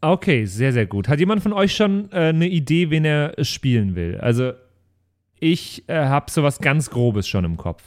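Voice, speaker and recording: A man chatting casually in a group as a tabletop role-playing player on a podcast, thinking aloud in a halting way. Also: on the high side, very animated.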